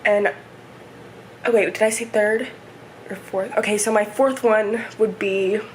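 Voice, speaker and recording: A woman in her twenties, chatting casually and rambling through a home vlog, her voice hoarse and stuffy. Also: mid-range voice, steady tone, a little slow, slight background noise, rough recording. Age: 20s. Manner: rambling, chatty, many fillers. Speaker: vlogger. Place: home, indoors. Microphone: camera set up nearby.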